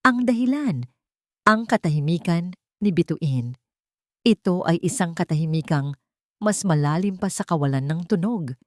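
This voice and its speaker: A woman narrating a scripted dramatic story in measured short phrases, pausing for effect. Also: fairly low pitch, lively intonation, dry recording, clean studio sound.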